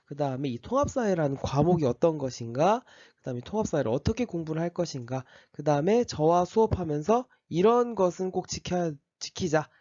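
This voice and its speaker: A male teacher with a slight cold talks a class through the course outline, stopping often for fillers and restarts. His pitch sits a little high and moves around a lot, and the tone is mellow. The recording is dry and a bit dull. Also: expressive, fairly quick, hardly any pauses, dry recording. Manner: explains step by step, with many fillers and restarts. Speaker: high school history teacher. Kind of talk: recorded class orientation lecture.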